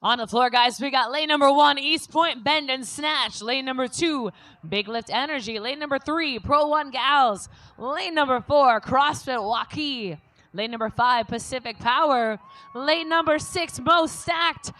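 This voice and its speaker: Brief: high, dry-sounding woman, brisk live event announcer on a stage mic. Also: a bit bright, no room sound. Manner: brisk, reads out lane lists. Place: competition stage at a fitness event.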